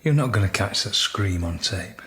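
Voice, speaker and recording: A man's voice: on the low side, expressive, moderate pace, a bit bright, husky, full character voice. A man acting in a radio drama as an exasperated sceptic who scolds and dismisses. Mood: dismissive. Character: exasperated, sceptical man worried about budgets. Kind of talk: naturalistic radio drama dialogue.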